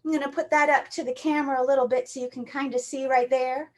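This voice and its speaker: A woman's voice: high voice, steady tone, quick pace. A woman from Hawaii performing as a magician for an online show, with playful, jokey stage patter and a rhyming story.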